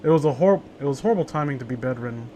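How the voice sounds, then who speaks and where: A man's voice: medium pitch, animated, rapid-fire, mellow, slight background noise. A man hosting a casual read-along with friends, joking in a crude, teasing way. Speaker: host reading horror stories aloud with friends.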